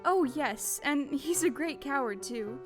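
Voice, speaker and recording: A woman's voice: high-pitched, animated, a little slow, over music. A woman playing a polite, plucky young farm girl in an audio play. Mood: polite, earnest.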